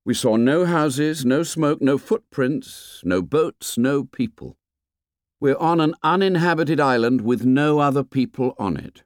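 A man's voice: medium pitch, unhurried, no room sound, clean studio sound, pitched above the performer's own voice, close to an everyday voice. A man voicing an earnest, commanding schoolboy leader in an audiobook, speaking in short emphatic phrases. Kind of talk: audiobook character voice.

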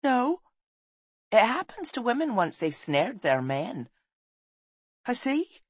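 A woman's voice: fairly high pitch, very animated, slow, slightly rough, dry recording, voice pushed up, heavily acted. A woman voicing a shy, wary orphan boy with an artless, earnest manner in an audiobook. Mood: guarded.

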